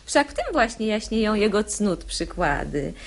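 An actress playing a sharp-tongued, ironic woman of the household in a verse radio play. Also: mid-range voice, sing-song, normal speed. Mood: mocking. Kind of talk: verse stage comedy performed as radio drama.

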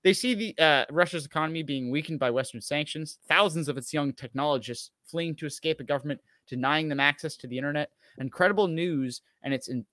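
A man's voice: mid-range voice, pushed and bright, no echo. Sardonic man, close dry sound. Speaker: show co-host. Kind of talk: reading an article aloud with casual commentary. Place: indoors at home on a video call.

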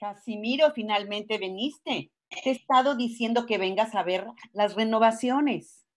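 An older woman playing a warm sixties veterana spiritual healer, read over a video call. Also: a little high, moderate pace, close to an everyday voice. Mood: welcoming, brisk. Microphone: video call from home.

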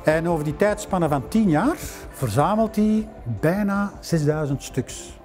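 Flemish man, 50s, museum director; slightly high, mellow voice; soft music underneath. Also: lively intonation. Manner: measured, storytelling with filler "eh". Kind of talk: documentary interview storytelling. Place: library room with bookshelves. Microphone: lapel mic.

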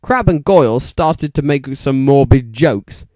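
A man's voice: medium pitch, lively intonation, a little slow, darkish tone, no echo, studio quality. A man narrating fan fiction as an exaggerated, mock-serious dramatic reading.

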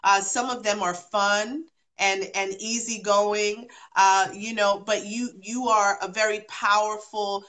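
A woman who grew up between London, Jamaica and New York speaks as a talk-show guest over a video call. Her voice is bright and clear-toned, her pitch sits in an everyday middle range, and she talks earnestly, circling back over her phrases for emphasis. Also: fairly even intonation, unhurried, hardly any pauses. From born in London, raised partly in Jamaica and in New York. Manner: earnest, blessing-giving, repeats phrases for emphasis. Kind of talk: casual talk-show chat.

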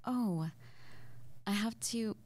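A woman playing an excitable, playful young witch in an intimate ASMR audio roleplay, speaking softly and breathily, often near a whisper, in a halting murmur. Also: medium pitch. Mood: giddy, excited. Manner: halting, giggly, murmuring to the listener.

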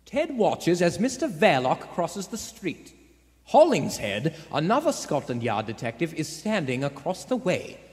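A man's voice: fairly high pitch, wildly swooping pitch, unhurried, darkish tone. A man playing the narrator of a suspenseful vintage radio play. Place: theater stage before a live audience. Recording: echoey theater stage, music behind.

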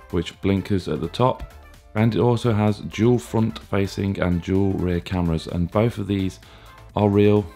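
Tech reviewer, male, 30s: very low, gravelly and chesty from a cold, laid-back with lots of ums, faint music underneath. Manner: relaxed, plain talk with frequent fillers and restarts. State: chesty, deep hoarse voice from a cold. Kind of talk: casual product review.

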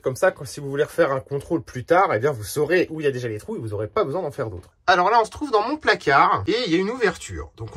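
A man with a slightly high voice talks in a dry, close sound. He demonstrates a product to viewers casually, using slang and an informal, joking delivery. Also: a bit bright, slightly rough, dry recording. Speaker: camper van vlogger testing a product.

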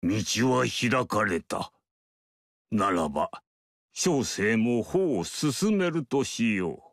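A man voicing an aloof male sage mystic in a video game, speaking in a measured, lofty, archaic way. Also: lowish voice, sing-song, no echo, studio quality, full character voice. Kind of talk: game character voice lines.

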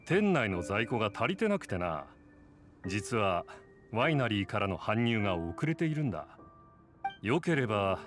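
Man playing an expressive, businesslike bartender. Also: medium pitch, natural voice. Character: adult male tavern bartender, polite, businesslike, slightly troubled. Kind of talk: anime game voice acting.

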